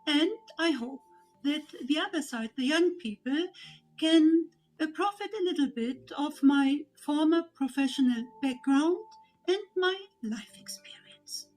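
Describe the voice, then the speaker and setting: Older German woman, high and measured. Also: a little mellow, faint music behind, rough recording. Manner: measured, pausing with fillers. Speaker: volunteer. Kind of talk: personal testimony.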